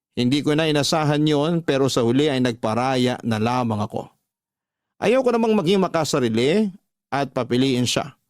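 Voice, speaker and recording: A man acting as a lovelorn storyteller in a Filipino-style radio drama confession. He reflects on his heartbreaks in a mid-pitched voice. The sound is dry and close. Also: no room sound, close to an everyday voice. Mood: confiding. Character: heartbroken, self-reflective man recounting his secret affairs. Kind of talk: dramatized first-person scripted radio narration.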